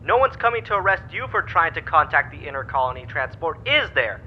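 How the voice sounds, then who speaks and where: An actor playing an indignant colony resident in an audio drama opinion clip, sarcastic and full of rhetorical questions. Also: male voice, sing-song, fairly quick. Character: indignant outer-colony resident airing complaints. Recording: dull radio sound, faint rumble.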